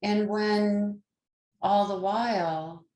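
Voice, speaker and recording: A woman leading a guided meditation as a teacher, speaking gently in short, unhurried phrases with pauses between them. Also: mid-range voice, fairly even intonation. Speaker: yoga nidra meditation teacher. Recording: roomy, echoing sound.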